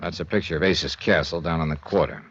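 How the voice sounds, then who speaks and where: An American actor playing a world-weary, sympathetic insurance investigator in a 1950s noir radio drama, with clipped, colloquial delivery. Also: male voice, very deep voice, fairly even intonation, fast, a bit bright, breathy edge, heavily acted. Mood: brisk, wry. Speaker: lead detective and narrator. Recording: dull vintage radio recording.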